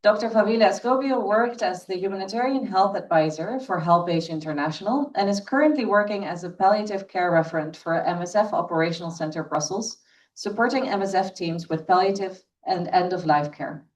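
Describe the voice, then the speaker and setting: A woman's voice: medium pitch, brisk, darkish tone, recorded from a distance, rough recording. A woman moderating an event, reading a formal speaker introduction from paper.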